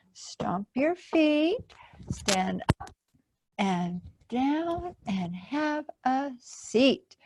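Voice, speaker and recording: Woman, children's storytime reader: very slow delivery, highish pitch, expressive and simple. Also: creaky, dry recording. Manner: expressive, simple explanations addressed to young children. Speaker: library storytime host and reader. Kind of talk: children's picture-book read-aloud.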